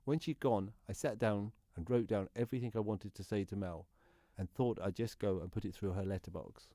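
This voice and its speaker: An actor plays an anxious man weighed down by guilt in a radio play. His voice is lowish and soft-edged, with a dark, mellow tone. He tells his story as an intense confession, recorded dry. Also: brisk, no echo, lightly acted. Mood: tense, confessional. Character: anxious, guilt-ridden male neighbour confessing what he did. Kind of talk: dramatic monologue in a radio play.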